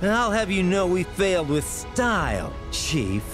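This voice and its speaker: An actor playing a cocky, flippant young fighter in a video game, defiant and wisecracking. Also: male voice, sits high, very animated, a little slow, music in the background. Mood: mock indignant.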